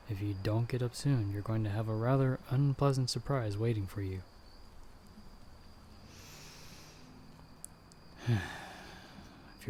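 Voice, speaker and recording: A man playing a stern, sardonic monster hunter who guides the listener in hushed, urgent tones in an ASMR audio roleplay. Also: lowish voice, slight background noise, close to an everyday voice. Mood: impatient. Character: gruff, sardonic male monster hunter, a disembodied guiding voice.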